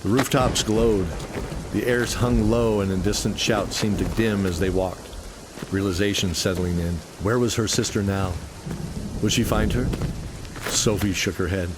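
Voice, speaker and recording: A man's voice: on the low side, steady tone, a little slow, background noise. A man narrating a scripted fantasy radio play in an earnest, dramatic storytelling style.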